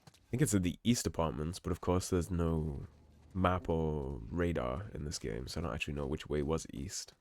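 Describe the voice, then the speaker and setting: A man giving relaxed, musing commentary over a game playthrough, his voice slightly hoarse. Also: very deep voice, moderate pace. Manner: relaxed, musing, reads in-game notes aloud. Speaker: game streamer. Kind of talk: let's play commentary.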